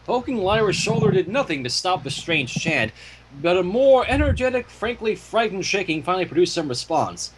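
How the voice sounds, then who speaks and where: Animated, higher-pitched man reading a story. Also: normal speed, a little hiss or hum, rough recording. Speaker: fan fiction reader and commentator.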